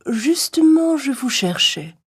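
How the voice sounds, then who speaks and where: A woman voicing a calm, polite, self-assured female tortoise with a husky voice in an animated children's story. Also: high-pitched, slow pace, heavily acted. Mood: polite challenge. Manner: courteous, confident.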